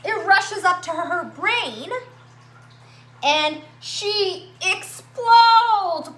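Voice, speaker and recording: A woman reading a picture book aloud to young children, with expressive, dramatic emphasis and drawn-out words. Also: very high voice. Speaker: children's story-time reader at a library. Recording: roomy echo, faint background noise.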